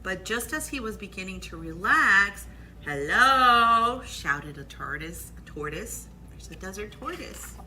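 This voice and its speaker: Very bright, animated woman reading a storybook. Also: medium pitch, a little hiss or hum. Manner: expressive, pauses to point out pictures. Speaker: library storytime reader. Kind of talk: children's picture book read-aloud.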